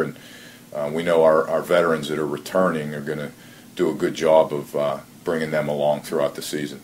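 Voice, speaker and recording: A man working as a football coach, giving earnest, measured interview answers on a conference call and often repeating his phrases. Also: very deep voice. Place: conference call, faint noise behind. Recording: noisy conference call audio.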